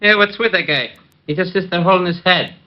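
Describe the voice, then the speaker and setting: A man's voice: sits high, fairly quick, close to an everyday voice. An actor playing a sneering, mocking gangster henchman in an old-time radio drama, taunting and slangy. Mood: suspicious. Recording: muffled, faintly noisy vintage radio recording.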